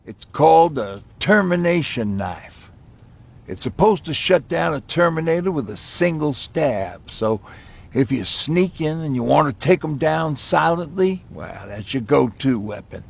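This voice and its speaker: An actor playing an easygoing, wry resistance mechanic in a video game, with a gravelly, husky voice and a casual, folksy way of talking. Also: male voice, fairly high pitch, lively intonation, unhurried, heavily acted. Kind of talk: video game dramatic acting.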